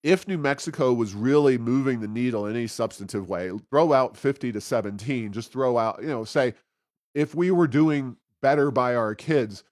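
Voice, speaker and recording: A man's voice: medium pitch, darkish tone, no room sound. Dry-sounding studio man, wry political commentary. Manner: wry, sarcastic asides. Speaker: co-host of a policy podcast.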